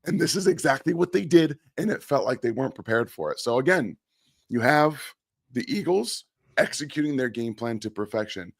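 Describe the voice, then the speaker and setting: A sick man whose voice is giving out breaks down a football game as co-host, blunt and repeating his main points for emphasis. His pitch is mid-range for a man, and the sound is dry and close, with no room echo. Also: lively intonation, a little fast, creaky, husky. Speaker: co-host analyst. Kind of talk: post-game sports analysis.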